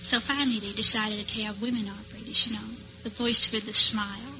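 A woman's voice: medium pitch, steady tone, brisk, bright, forceful tone, highs cut off, faint music behind. An American woman being interviewed as a quiz show contestant, giggly and a little hesitant, on an old-time radio recording. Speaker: contestant, telephone operator.

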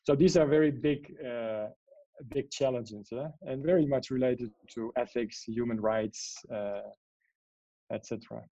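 A man's voice: mid-range voice, unhurried, mellow, no room sound, dull audio. A man from the Netherlands, a guest in an interview, explaining at length with many fillers and checking "yeah?" questions. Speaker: guest, AI strategist and author. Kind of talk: interview answers.

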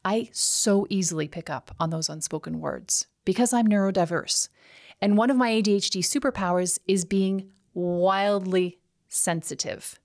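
A middle-aged woman with a slight Canadian accent hosting a scripted solo podcast, enthusiastic and emphatic, slowing down on key words. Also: mid-range voice, gravelly. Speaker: podcast host.

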